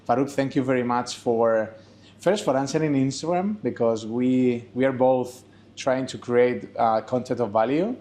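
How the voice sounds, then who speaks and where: Male interviewer, enthusiastic, halting, mid-pitched. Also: darkish tone. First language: Spanish. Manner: enthusiastic, halting, many fillers. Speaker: interviewer, channel host. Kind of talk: casual interview host.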